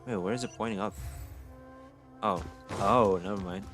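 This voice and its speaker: A man's voice: lowish voice, normal speed. A man giving casual live reaction commentary while streaming a video game, with game music behind him. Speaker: livestreamer playing a game.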